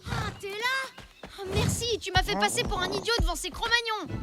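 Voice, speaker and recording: Plucky, very high-pitched young cave boy. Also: normal speed. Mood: eager. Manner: earnest, exclamatory. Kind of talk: animated children's series dubbing. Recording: loud music behind the voice.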